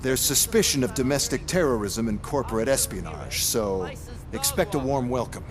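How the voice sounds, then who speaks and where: A man's voice: mid-range voice, animated, moderate pace, natural voice. Casting: male actor for sardonic game policeman, brash delivery, big reverb, faint music behind. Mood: brusque, sardonic. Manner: mocking, blunt. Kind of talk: video game voice acting.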